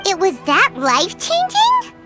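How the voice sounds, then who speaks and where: Cheeky little game fairy, extremely high and squeaky, slow swooping lines. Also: female voice, huge pitch swings, heavily acted. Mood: cheeky, skeptical. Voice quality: squeaky, childlike. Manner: refers to herself by name. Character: tiny childlike floating fairy girl, cheeky companion. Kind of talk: video game voice acting. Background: game music.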